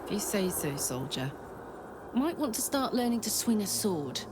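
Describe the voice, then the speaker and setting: A woman's voice: medium pitch, sing-song, moderate pace, natural voice. A British woman playing a tough, protective tiefling soldier in a video game, blunt, sweary and chummy. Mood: brash, protective. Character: brash, protective female tiefling soldier, big-hearted and tough. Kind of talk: video game character acting. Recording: clear background ambience noise.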